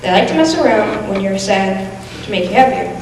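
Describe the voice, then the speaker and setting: Mid-pitched young woman, distant in echoey hall. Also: animated, a little fast, darkish tone. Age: teenager or young adult. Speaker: student performer. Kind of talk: readers theatre monologue, recited. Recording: echoey hall from far off, noisy.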